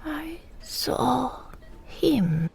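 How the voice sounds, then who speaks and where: A woman playing a frail, wistful elderly British mother remembering her wartime childhood in a hushed, breathy audio drama monologue. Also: high voice, big pitch swings, faint music behind, full character voice. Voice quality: breathy, whispery. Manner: slow, hushed reminiscing.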